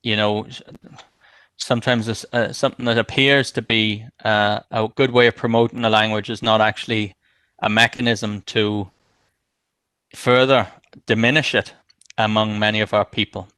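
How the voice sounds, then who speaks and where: A man councillor speaks in a council debate. He hesitates and restarts as he thinks aloud. His voice is bright and crisp, and it sounds dry and close. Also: lowish voice, flat delivery, normal speed, no room sound.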